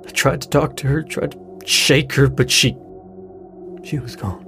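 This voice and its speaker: A man playing a grieving, apologetic middle-aged widowed father with a halting delivery in a naturalistic audio drama. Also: medium pitch, vocal fry. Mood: anguished, guilty. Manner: halting, hesitant, sighing delivery. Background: music.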